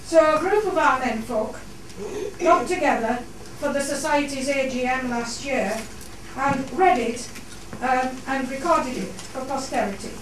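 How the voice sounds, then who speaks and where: High-voiced woman addressing a very echoey hall. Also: measured pace. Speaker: society host introducing a play. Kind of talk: formal welcome address to audience. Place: theatre, on stage. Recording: echoey hall, distant mic, noisy.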